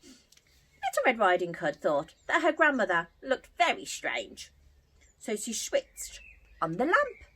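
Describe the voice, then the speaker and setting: Children's storyteller, woman: higher-pitched, very lively intonation, unhurried and theatrical, speaking to little ones. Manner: addresses young children, theatrical. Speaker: children's party entertainer and storyteller.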